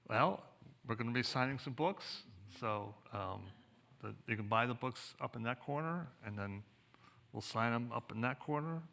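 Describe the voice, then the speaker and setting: A man's voice: mid-range voice, moderate pace, creaky, sharp tone. A man speaking as an author at a book talk, conversational and thoughtful, often searching for his words. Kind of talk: conversational panel discussion. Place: museum theater, on stage.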